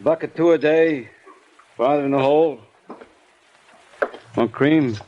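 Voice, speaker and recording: An American actor playing a guarded, curt lunch counter man with rough working-class grammar in a 1950s radio drama. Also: fairly high pitch, slow pace, dark tone, lo-fi sound. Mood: businesslike. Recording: noisy 1950s radio recording with clicks.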